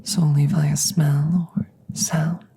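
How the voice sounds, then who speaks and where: A woman plays a calm, curious alien scientist in a whispered ASMR audio roleplay. Her voice is low and dark, with plenty of creak. She speaks in a measured, formal, gently halting way, close to the mic. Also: heavily acted. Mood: curious, hushed. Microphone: close microphone.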